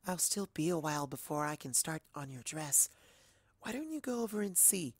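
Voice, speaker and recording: A performer voicing a timid, gentle young female pony seamstress, soft-spoken and hesitant. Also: medium pitch, big pitch swings, normal speed, no echo, pitched above the performer's own voice. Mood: gentle, kindly.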